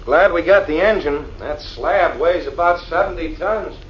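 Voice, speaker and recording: A man's voice: a little high, a little slow, close to an everyday voice. An actor playing a practical, easygoing excavation foreman with a casual, matter-of-fact manner in 1940s radio drama style. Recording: muffled, noisy 1940s radio recording.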